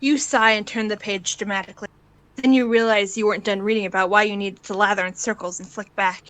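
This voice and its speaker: A woman's voice: mid-range voice, steady tone, fast talker, fairly bright tone, dry recording, rough recording. A woman narrating a piece of fan fiction in the second person, as an amateur reader.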